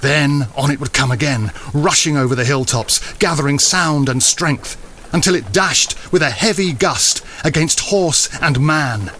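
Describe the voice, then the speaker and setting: Male narrator for audio drama, somewhat high register, dramatised classic storytelling. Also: a little slow, bright, forceful tone, a little hiss or hum.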